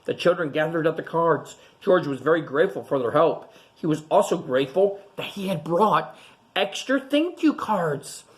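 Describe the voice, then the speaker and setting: A low-voiced woman reads to young children as their teacher. Her pitch swings widely as she tells the story, and she explains things in simple, child-directed words. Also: animated, moderate pace. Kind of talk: picture-book read-aloud with simple explanations to young children.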